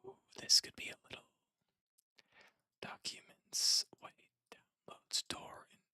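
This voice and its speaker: A person whispering casual, rambling commentary while playing puzzles, musing aloud in a breathy, hushed voice close to the microphone. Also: takes it slowly, no room sound. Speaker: host of a puzzle-game stream.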